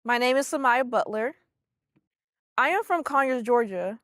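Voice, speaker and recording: A young adult woman volunteer speaking casually and a bit haltingly in a documentary interview. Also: fairly high pitch, expressive, fairly bright tone, dry recording, studio quality.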